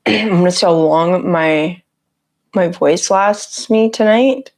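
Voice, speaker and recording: Raspy, slightly low-voiced woman streamer. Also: slow, darkish tone. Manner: chatty, greets viewers by name, laughs often. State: sick with a cough. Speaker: livestream host. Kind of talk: casual livestream chat with viewers. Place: her home office, indoors. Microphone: lapel mic on her shirt.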